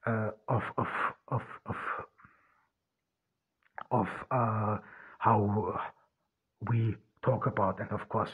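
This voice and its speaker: A man in his thirties from Austria, with an Austrian German accent, hosting a solo podcast and speaking haltingly with many restarts and fillers. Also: fairly low pitch. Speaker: podcast host. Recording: husky voice, phone-like lo-fi audio.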